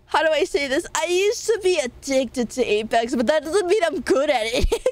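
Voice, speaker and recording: A woman's voice: high voice, animated, a little mellow. A young woman streamer with a nasal voice, chatting casually and playfully with her viewers. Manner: rambling, playful, quick laughs and swearing. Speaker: VTuber streamer.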